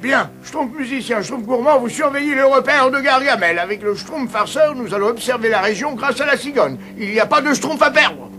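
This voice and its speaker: High-pitched fatherly old imp leader. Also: lively intonation, a little fast, hardly any pauses, pushed and bright, full character voice. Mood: commanding. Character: wise elderly male leader of tiny imps, fatherly. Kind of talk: dubbed cartoon acting. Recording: music behind the voice.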